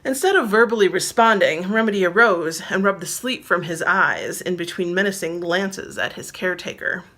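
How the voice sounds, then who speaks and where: A woman's voice: fairly low pitch, moderate pace, fairly bright tone. A woman reading her own novel aloud as narrator, in scripted storybook style.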